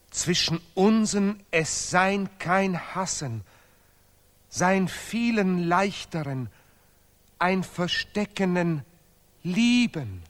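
Male pompous, excitable scholar for radio play; dragging delivery, high register, broken grammar. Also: heavily acted. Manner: declamatory, chopped phrases in deliberately broken grammar. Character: second pompous male laureate scholar, zealous, sentimental, excitable. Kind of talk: absurdist 1970s German radio play.

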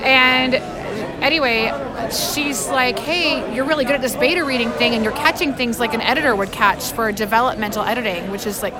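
A woman chats casually in a slightly high voice, voicing both sides of conversations as she tells her story. A busy crowd surrounds her. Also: brisk. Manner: animated storytelling, acting out quoted dialogue. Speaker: interview guest. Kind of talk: casual anecdotal chat. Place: crowded public space at a fan convention. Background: crowd chatter.

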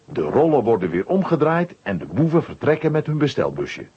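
Male storyteller, husky, mid-pitched, animated children's narration, muffled lo-fi recording. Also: brisk.

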